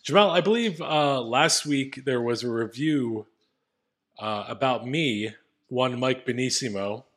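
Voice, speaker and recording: A man with a deep voice co-hosting a podcast, laid-back and reading out listener reviews. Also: measured pace, fairly bright tone. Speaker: podcast co-host. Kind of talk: casual comedic chat.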